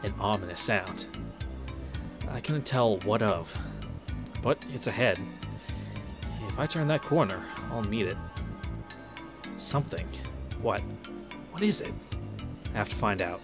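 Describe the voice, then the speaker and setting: A man's voice: fairly low pitch, animated, a little slow, long pauses. A man reading a visual novel aloud, breaking off into wry, informal commentary, with game music behind him. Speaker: let's-play narrator. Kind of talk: visual novel read-through with casual commentary.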